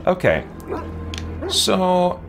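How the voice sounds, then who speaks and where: A man's voice: high voice, creaky, slight background noise. A man in his forties giving casual gameplay commentary, musing and often interrupting himself. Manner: rambling, musing, self-interrupting. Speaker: game streamer. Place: small indoor room with shelves.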